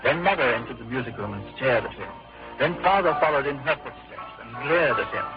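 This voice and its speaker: A man's voice: medium pitch, expressive, a little fast, muffled recording, close to an everyday voice. A man narrating a comic old-time radio story in a dry, storybook style. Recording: vintage radio recording with music.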